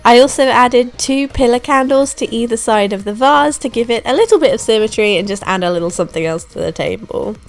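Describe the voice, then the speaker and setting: Hoarse, gravelly young woman vlogger. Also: a little high, brisk, hardly any pauses. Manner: chatty, relaxed, self-deprecating. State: hoarse from a throat infection. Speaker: home renovation vlogger. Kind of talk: casual voiceover narration. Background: soft background music.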